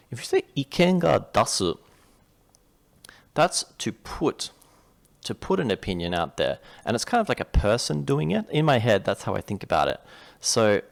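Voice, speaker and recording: Gravelly low-voiced Australian tutor. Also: male voice. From Western Australia. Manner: chatty, rambling explanations with restarts. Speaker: Japanese tutor. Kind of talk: casual screen-share reading lesson.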